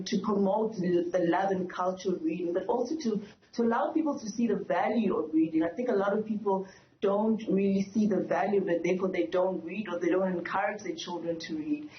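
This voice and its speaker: A woman speaking earnestly as an expert guest in a news interview, explaining things and repeating words for emphasis. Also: medium pitch. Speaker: author and educator, interview guest. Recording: dull, echoey lo-fi remote link.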